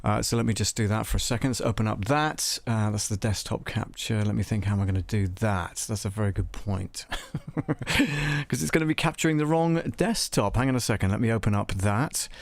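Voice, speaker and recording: A man's voice: fairly low pitch, fairly quick, creaky, no echo. A man hosting a live audio production tutorial, chatting informally and often thinking aloud. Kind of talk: casual live tutorial chat.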